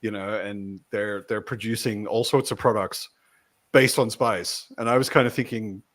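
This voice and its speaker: A man's voice: fairly low pitch, fairly even intonation, normal speed, a bit bright. A man in a casual book discussion, explaining his points conversationally and often adding "you know.".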